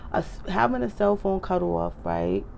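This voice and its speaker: An American woman, slightly hoarse, giving casual motivational advice in an emphatic, conversational way with repeated check-in phrases. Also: lowish voice, wildly swooping pitch, normal speed, darkish tone, a little hiss or hum. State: slightly hoarse, clearing throat. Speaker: advice speaker.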